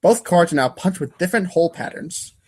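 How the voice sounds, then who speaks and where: A man's voice: sits high, animated, fairly quick, a little mellow, husky. A man narrating a webcomic readers' theater script in a wry way, now and then tripping over the text. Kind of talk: dramatic reading of webcomic narration.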